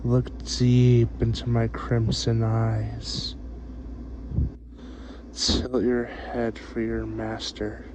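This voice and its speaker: A man playing a seductive, hungry vampire master in a soft-spoken ASMR roleplay, with drawn-out, sighing, murmured speech. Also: medium pitch, monotone. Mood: commanding. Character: hungry, seductive male vampire, doting yet domineering master. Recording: dull, muffled audio with clear background noise.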